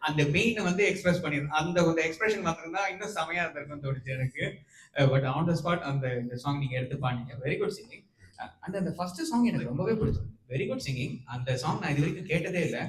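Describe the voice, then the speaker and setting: Male audition judge, higher-pitched, encouraging and hesitant, code-switching bilingual speech, echoey noisy room. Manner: encouraging, halting with fillers, mixes Tamil and English. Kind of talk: encouraging spoken feedback to a contestant. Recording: echoey room with background noise.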